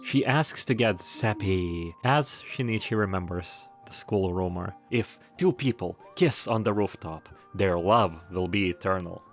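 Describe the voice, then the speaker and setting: A man's voice: low voice, a little slow, few pauses, faint music behind. A man narrating in a casual, scripted video style, with wry, irreverent, slangy joking asides. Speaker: video essayist.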